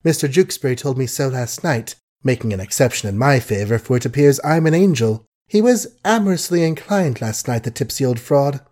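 A man's voice: mid-range voice, animated, brisk, hardly any pauses, a little mellow, close to an everyday voice. A man voicing a shrewd, worldly society woman in an audiobook, with an earnest, emphatic and dramatic delivery. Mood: playful, scornful. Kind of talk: audiobook character acting.

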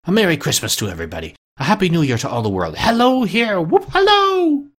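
Storybook old miser turned fervent convert, acted by a male reader: wildly varied pitch, gravelly, exclaiming and repeating himself. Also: wildly swooping pitch, racing, exaggerated voice. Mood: giddy joy.

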